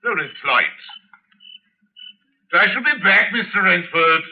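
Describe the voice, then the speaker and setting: An actor playing a suave, sinister aristocratic hunter of men in 1940s radio style, mockingly courteous and theatrical. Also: male voice, high-pitched, sing-song, takes it slowly, vocal fry, exaggerated voice. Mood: mock courtesy, amused. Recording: dull old 1940s radio recording.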